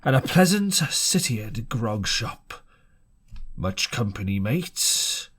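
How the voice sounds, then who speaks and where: A gruff old seaman from an audiobook adventure, acted out by a male narrator. He talks slowly and roughly in blunt sailor speech, at a medium pitch. He sounds like someone who expects to be obeyed. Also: very animated, fairly bright tone, heavily acted. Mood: gruff, appraising. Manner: rough, commanding sailor talk. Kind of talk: character voice in audiobook.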